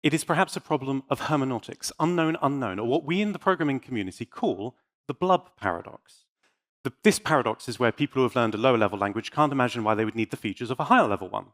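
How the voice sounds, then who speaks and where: A man's voice: mid-range voice, animated, fast talker, fairly bright tone. A man delivering a prepared conference talk in a theatrical, emphatic and wry manner, with dry jokes. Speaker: conference speaker.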